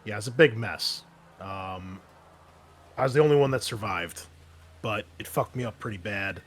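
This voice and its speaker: A man voicing an injured female marine breacher in a tabletop game, casual and halting as they brush off concern. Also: fairly low pitch, a bit bright. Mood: candid, weary. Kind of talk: improvised tabletop roleplay voice.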